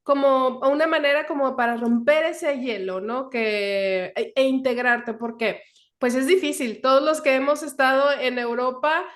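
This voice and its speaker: A woman's voice: high voice, measured pace. A woman hosting a casual conversational interview, asking questions in a hesitant way as she searches for her phrasing. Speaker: interviewer, host.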